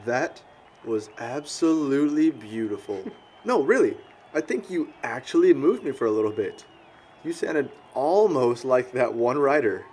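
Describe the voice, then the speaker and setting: A man plays a young American slacker in a naturalistic indie drama. He is dim, crude and quick to get defensive, with a blunt delivery at an average pitch. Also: animated, a little mellow, breathy edge. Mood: joking. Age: young adult. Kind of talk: naturalistic amateur film dialogue.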